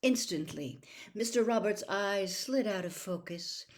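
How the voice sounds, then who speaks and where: A woman narrating a novel as a storybook reader, in a measured, careful and expressive storytelling manner. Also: medium pitch. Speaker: audiobook reader.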